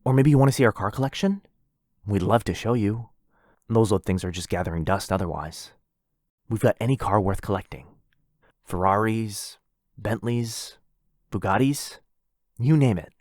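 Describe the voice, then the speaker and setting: A man's voice: on the low side, expressive, moderate pace, long pauses, a little mellow, dry recording, clean studio sound. A man playing a lonely, possessive, rich vampire brother in a boyfriend ASMR roleplay, insistent and coaxing. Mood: eager, inviting.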